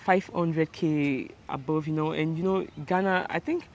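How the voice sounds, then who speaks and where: A young Nigerian woman with a Nigerian accent, interviewed at home, speaking in a relaxed, hesitant way with many fillers. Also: low voice, unhurried, slight background noise. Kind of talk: casual interview chat. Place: home in Ghana.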